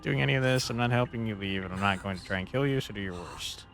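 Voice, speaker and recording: A man's voice: on the low side, fast, vocal fry, a bit bright. A man giving casual let's play commentary as a game streamer, with a wry, joking manner. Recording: faint game music behind. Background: video game music and dialogue.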